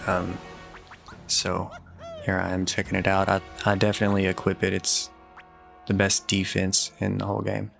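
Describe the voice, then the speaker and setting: Man, low creaky voice, fairly even intonation, casual game guide commentator, matter-of-fact advice, music behind. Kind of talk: casual walkthrough commentary with tips.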